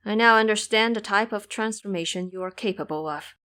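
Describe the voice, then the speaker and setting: A woman's voice: mid-range voice, a little fast, fairly bright tone, lightly acted. A woman voicing a timid, courteous king with formal, stately speech in an audio drama. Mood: curious, courteous.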